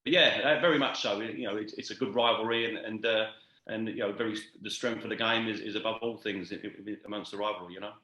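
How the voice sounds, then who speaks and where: Bright-voiced middle-aged Londoner, echoey room. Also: male voice, fairly low pitch, fairly even intonation, fast talker, dull audio. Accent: London English. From east London, England. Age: late 40s to 50s. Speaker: interview guest, football club executive. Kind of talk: conversational interview answers.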